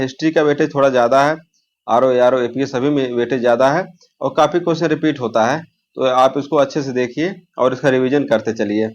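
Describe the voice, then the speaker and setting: A man's voice: mid-range voice, lo-fi sound. A man teaching an informal exam lesson, repeating key terms and posing questions as he explains.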